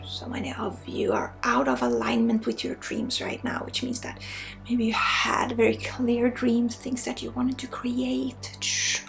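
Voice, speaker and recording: Slow, whispery Swedish woman with light creak. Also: a little high, faint music behind. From Sweden. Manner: soft-spoken, often slipping into whispers. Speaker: spiritual healer and ASMR host. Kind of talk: ASMR guided energy healing.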